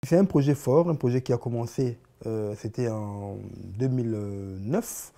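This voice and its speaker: A man in his forties with a Congolese French accent, answering interview questions in a living room, often stopping and repeating words as he searches for them. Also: medium pitch. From Congo (Kinshasa). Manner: halting, many repeated words and restarts, animated hand gestures. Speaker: artist guest.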